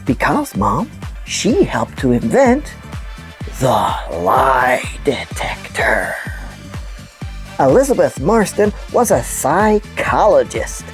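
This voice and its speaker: A woman's voice: low-pitched, sing-song, takes it slowly, soft background music, full character voice. A woman playing an earnest, affectionate puppet news presenter, explaining stories simply in a scripted children's show. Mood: informative. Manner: clear storytelling, explains simply.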